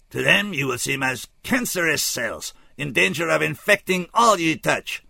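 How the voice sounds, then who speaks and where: Man voicing a stiff, high-pitched alien scout. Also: gravelly, pushed and bright, no room sound, exaggerated voice. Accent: odd, unplaceable foreign accent. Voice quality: pained, strained. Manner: stiff, formal, dispassionate, slow and deliberate. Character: tall, anguished alien scout, formal and resigned.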